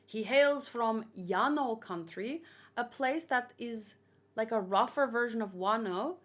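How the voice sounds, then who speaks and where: A woman doing casual livestream commentary, reading aloud and reacting in a chatty, expressive way. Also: a little high, a little slow. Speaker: manga read-along streamer.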